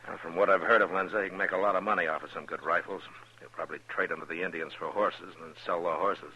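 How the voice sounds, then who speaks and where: An American actor playing a stern, watchful U.S. Marshal in a radio western, speaking in a terse, commanding, plainspoken way. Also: male voice, sits low, fairly even intonation, fast talker, sharp tone, lo-fi sound. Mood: watchful, measured. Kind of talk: 1950s radio western acting. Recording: noisy, crackly old radio recording.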